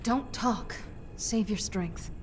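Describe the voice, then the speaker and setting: An actress playing a fierce, determined pirate captain in a video game cutscene, with a hard, resolute manner. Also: female voice, mid-range voice, slow, slight background noise, natural voice. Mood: urgent concern.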